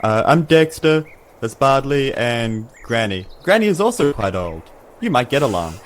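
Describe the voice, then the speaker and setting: A man's voice: medium pitch, sing-song, normal speed, a little hiss or hum. A man with a New Zealand accent playing a tabletop game, chatting casually in and out of character with a joking, bantering manner. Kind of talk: casual roleplay chat.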